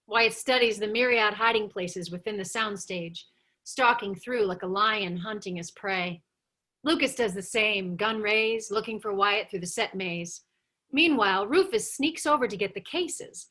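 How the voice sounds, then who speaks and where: A woman reading screenplay stage directions aloud as the narrator of a table read, with animated storytelling. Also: mid-range voice, measured pace.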